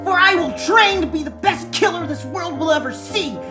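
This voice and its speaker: A woman's voice: extremely high pitch, normal speed, voice pushed up. A woman voicing a vengeful ten-year-old boy with a childlike voice, declaiming melodramatically in a fantasy story. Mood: vengeful, defiant. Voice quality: childlike kid voice. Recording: roomy sound, music behind.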